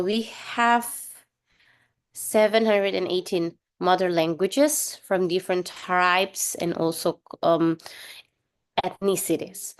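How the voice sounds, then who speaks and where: A young woman from Sulawesi with an Indonesian accent, giving an online workshop presentation in an earnest, explanatory way, pausing often with "um" and "uh". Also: on the low side. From Indonesia, Sulawesi (Toraja). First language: Toraja. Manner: earnest, explanatory, many "um" and "uh" pauses. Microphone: video call.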